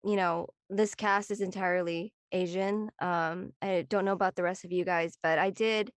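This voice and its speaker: A woman's voice: on the low side, fairly even intonation, normal speed, no room sound. A woman from Northern California, an actor introducing herself casually, thinking aloud in a hesitant, informal way. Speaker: actor in a play reading. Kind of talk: casual unscripted introduction and chat.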